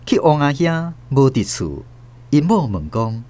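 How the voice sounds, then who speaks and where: A man's voice: medium pitch, very animated, measured pace, mellow. A man with a Taiwanese accent narrating a scripted radio drama as a dramatic storyteller.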